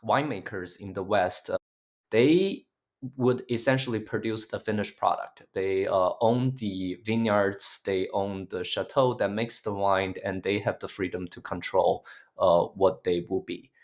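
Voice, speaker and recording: A man co-hosting a podcast, explaining with frequent "uh" pauses and restarts. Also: fairly low pitch. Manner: hesitant, many "uh" fillers and restarts. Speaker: podcast co-host. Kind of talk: casual podcast discussion.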